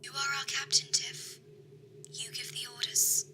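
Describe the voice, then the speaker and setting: A woman playing a calm, disembodied ship computer in a sci-fi audio drama, speaking softly, measuredly and reassuringly. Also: high voice, unhurried, whispered. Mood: calm, obedient.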